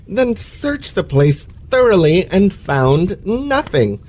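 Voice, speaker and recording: A man's voice: a little high, warm tone. A man playing a pompous, self-pitying male lecturer with florid, precise elocution in an old-fashioned radio play. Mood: wounded, self-pitying.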